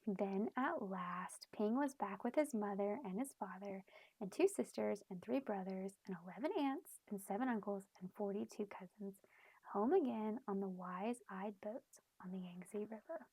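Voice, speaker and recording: A woman reading a storybook aloud expressively for young children, pausing to explain things in simple terms. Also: medium pitch, a little mellow, husky, no echo, poor audio. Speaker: children's read-aloud host.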